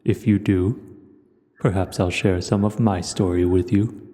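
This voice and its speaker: A cavernous reverb surrounds an old, wise dragon, played by a man, with a very deep voice. He speaks in measured, gentle phrases with a mellow, dark tone, like a thoughtful storyteller in an audio roleplay. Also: steady tone. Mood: calm, cautioning. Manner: slow, measured, reflective storytelling. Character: ancient, wise, gentle male dragon hoarder. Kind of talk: audio roleplay acting, addressing the listener.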